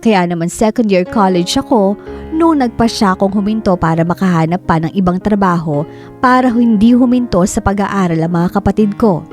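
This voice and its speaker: A woman's voice: mid-range voice, a little fast, natural voice. An actress playing a dutiful eldest daughter in her thirties, telling her story in earnest, plaintive radio drama narration. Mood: plaintive, resolute. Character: Karen, 33-year-old dutiful eldest daughter recounting family hardship. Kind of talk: scripted dramatic first-person radio narration. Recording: soft background music under the voice.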